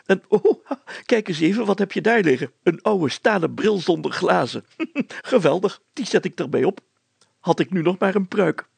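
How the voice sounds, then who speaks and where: A man voicing an excitable, stubborn, self-satisfied small-town mayor with a blustery manner in a story. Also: sits high, normal speed, gravelly, slightly rough, no echo, pitched above the performer's own voice, heavily acted. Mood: delighted.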